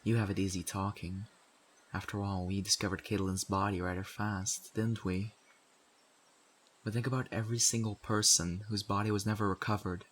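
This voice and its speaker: A stern survivor of the undead apocalypse, acted by a man, with a fairly low male voice. He talks straight at the listener in an earnest, reproving way. His pauses are deliberate and pointed, as in an intimate audio roleplay drama, and he sounds exasperated yet principled. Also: slight background noise, close to an everyday voice.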